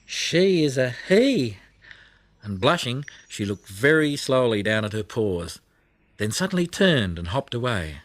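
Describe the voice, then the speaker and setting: A man reading a children's story audiobook as narrator, with expressive storytelling delivery. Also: on the low side, moderate pace, no room sound. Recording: music behind the voice.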